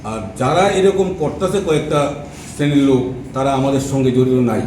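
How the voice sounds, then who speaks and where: A man with a Tripura Bengali accent speaks haltingly as an association spokesman briefing a press conference. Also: mid-range voice, darkish tone. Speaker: trade association secretary, spokesman. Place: press meet in an echoey room. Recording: echoey, distant mic, noisy room.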